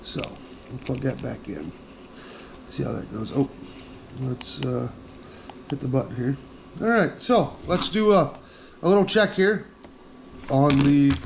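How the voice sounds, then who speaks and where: Middle aged guy doing a casual gear demo, plain talker, says things twice, bit of background noise. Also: medium pitch. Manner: plainspoken, repeats himself. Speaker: outdoor gear reviewer. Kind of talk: casual product demonstration.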